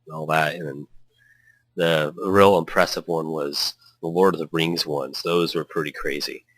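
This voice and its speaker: A man with a notably low voice works as a let's player, moving between story narration and loose, casual commentary. He tends to ramble. The sound is dry and close, with very little room in it. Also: steady tone, normal speed, a bit bright, no echo, rough recording. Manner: hyped, tangent-prone. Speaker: let's player reading a visual novel aloud.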